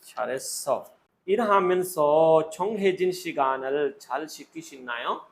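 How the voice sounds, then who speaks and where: A man's voice: fairly high pitch, animated, slow pace, slight background noise. A man acting as a Korean exam interviewer, giving short instructional commands and set questions.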